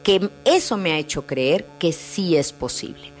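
A woman counselor speaking gently and reassuringly in a radio message about grief. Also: fairly low pitch, animated, a little slow, soft background music. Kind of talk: calm spoken radio message.

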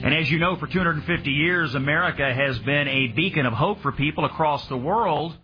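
A man hosting a radio talk show, introducing a guest with upbeat patriotic framing and some halting asides. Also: on the high side, music in the background. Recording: dull lo-fi radio sound.

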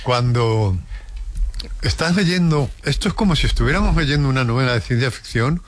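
A man's voice: medium pitch, lively intonation, normal speed. A man chatting casually as a radio co-host in a studio interview.

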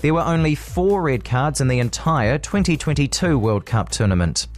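A man's voice: mid-range voice, expressive, normal speed. A man with a New Zealand accent reading a scripted radio news bulletin. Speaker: radio newsreader.

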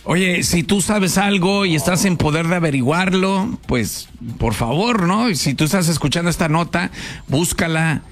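A man's voice: fairly high pitch, lively intonation, moderate pace. A man with a Mexican accent hosting a casual radio chat, slangy and prone to restarts. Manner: chatty, slangy, trails off and restarts. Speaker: radio host.

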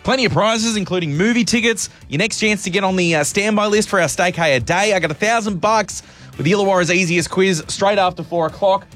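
Croaky radio host over music. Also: male voice, sits high, brisk, fairly bright tone. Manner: chatty, apologetic, upbeat. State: croaky, sore throat. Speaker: radio drive show host. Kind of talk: casual radio chat.

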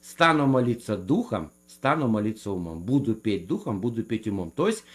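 A man in a theological debate who speaks in a measured way and quotes scripture to make his case. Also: fairly low pitch, very animated. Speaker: guest defending speaking in tongues.

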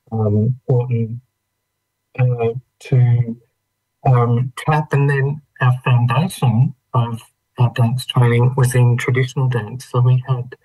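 Husky-voiced middle-aged Australian man. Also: medium pitch, mellow, poor audio. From western suburbs of Melbourne, Australia. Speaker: interview guest, trained dancer. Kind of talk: reflective personal storytelling in conversation.

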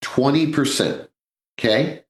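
A man's voice: medium pitch, takes it slowly, echoey room. A man in his sixties hosting a live financial radio show, chatty and joking, calling his listeners folks. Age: 60s. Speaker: radio host, financial advisor.

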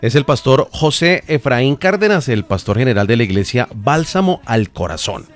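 A man's voice: mid-range voice, sing-song, normal speed, faint music behind. A man hosting a live radio program, greeting his guests and asking questions in a friendly way. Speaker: radio show host.

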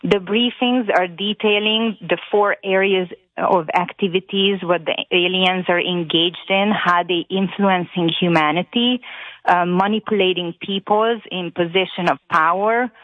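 Tinny phone line, woman caller, Hungarian accent, lowish voice, earnest and emphatic, non-native grammar. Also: steady tone, measured pace, no echo. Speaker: caller on a radio show. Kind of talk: earnest personal account. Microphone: telephone.

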